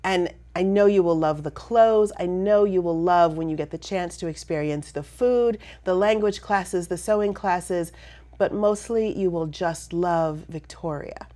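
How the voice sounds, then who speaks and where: Female TV host, 30s, warm-pitched low register, effusive and upbeat, studio lapel mic. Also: measured pace. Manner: enthusiastic, effusive. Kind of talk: TV interview host. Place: TV studio set.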